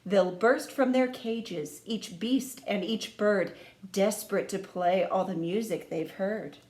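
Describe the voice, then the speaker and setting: A woman reading a children's storybook aloud in an expressive, sing-song storytime manner, speaking to young listeners like a teacher. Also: mid-range voice, slow pace. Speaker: music teacher reading a picture book to children. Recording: echoey room.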